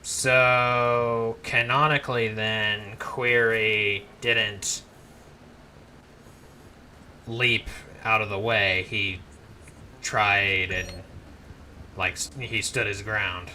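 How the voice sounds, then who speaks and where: A man's voice: fairly low pitch, flat delivery, very slow, long pauses, sharp tone, a little hiss or hum. A man giving casual let's-play commentary, rambling with frequent restarts as he plays. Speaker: gameplay commentator.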